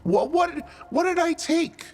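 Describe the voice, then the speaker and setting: A man's voice: very high voice, lively intonation, takes it slowly, voice pushed up, exaggerated voice. A man playing a chatty undead lich bureaucrat in improvised tabletop roleplay, faux-friendly and defensive, stammering and repeating himself. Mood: indignant, incredulous.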